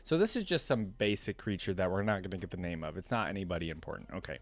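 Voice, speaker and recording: A man with a fairly low voice, recorded very dry with almost no room around it. He reads like someone sharing a story with viewers, in an informal and musing way. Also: expressive, fast, no room sound. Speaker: reaction video host. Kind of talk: reading a story aloud with casual asides.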